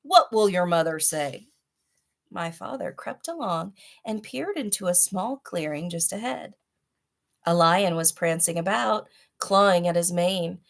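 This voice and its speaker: A woman's voice: fairly low pitch, gravelly. Woman reading a children's storybook aloud. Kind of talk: read-aloud storybook narration with chatty asides.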